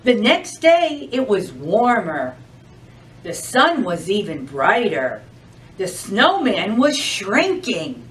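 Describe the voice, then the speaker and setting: Woman, slow-paced children's storyteller, very lively intonation, expressive, recorded at a distance from the mic. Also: mid-range voice. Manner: expressive, addresses children with questions. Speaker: librarian storytime reader. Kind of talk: children's storybook read-aloud.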